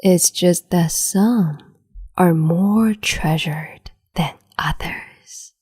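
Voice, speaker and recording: A woman voicing a cruel, capricious empress in an ASMR roleplay, whispering softly and condescendingly with sweet menace, close to the mic. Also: on the low side, extremely slow, heavily acted. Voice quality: breathy whisper.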